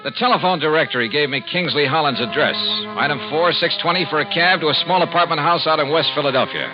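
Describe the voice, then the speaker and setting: A man's voice: on the high side, fast, close to an everyday voice. A male actor playing a shrewd, wry American insurance investigator in a vintage radio detective drama, narrating and talking in a clipped, hard-boiled way. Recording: dull old radio recording, loud music.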